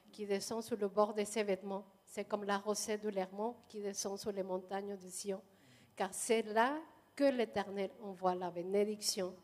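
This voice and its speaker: A woman from Mexico, speaking with a Mexican Spanish accent in her second language, giving a halting personal testimony in church. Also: medium pitch, a little mellow. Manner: hesitant, halting, many pauses.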